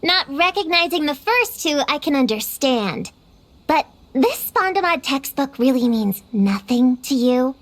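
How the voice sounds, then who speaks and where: A proud little girl witch hunter from a video game, talks in a high childlike voice. She is self-serious and defensive, measured in pace, with music behind her. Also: big pitch swings. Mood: puzzled, haughty. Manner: self-important, hesitant when bluffing.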